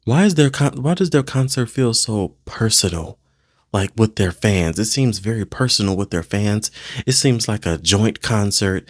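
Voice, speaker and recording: Male reaction host, low voice, lively intonation, casual slangy gushing delivery. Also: normal speed, slightly rough, dry recording, clean studio sound. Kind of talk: casual excited reaction commentary.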